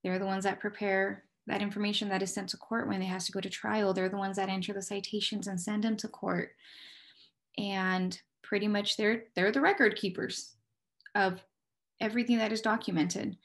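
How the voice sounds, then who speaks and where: Female, American accent, medium pitch. Candid interviewee in public safety work, conversational and explanatory. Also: fairly even intonation, moderate pace. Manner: candid, explanatory, poses rhetorical questions and answers them. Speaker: police dispatcher, interview guest.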